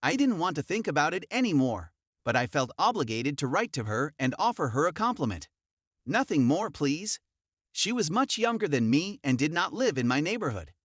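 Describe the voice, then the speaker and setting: A man tells an online forum story in the first person, his voice pitched a little high and moving up and down a lot. The sound is dry and close, with no room around it. He keeps an even storytelling manner. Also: moderate pace, no room sound. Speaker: narrator.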